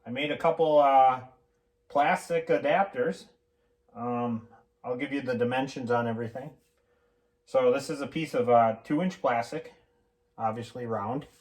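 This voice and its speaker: Man giving a halting, casual how-to. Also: mid-range voice, a little mellow. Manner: halting, informal, thinking aloud. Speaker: hobbyist mechanic presenting a homemade tool demonstration. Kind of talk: casual unscripted how-to explanation.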